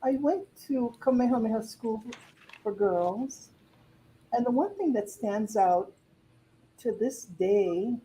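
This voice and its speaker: An older woman from Hawaii, a podcast guest telling a story in a lively way and laughing easily. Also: a little high, slow, slight background noise, low-quality recording. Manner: warm, laughing, animated storyteller. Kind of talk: storytelling in conversation.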